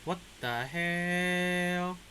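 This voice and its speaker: A man's voice: high-pitched, very slow, pushed and bright, slight background noise. A man giving casual reaction commentary as he plays a video game.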